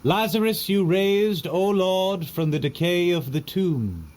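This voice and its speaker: A man's voice: sits high, expressive, takes it slowly, darkish tone. An actor playing a solemn clergyman in an audio drama, reverently intoning a burial rite.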